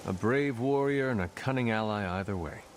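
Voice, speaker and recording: A man's voice: on the low side, fairly quick, soft background music, lightly acted. A man playing a solemn, loyal warrior hero in a video game, speaking formally and earnestly. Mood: sincere. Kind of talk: video game cutscene acting.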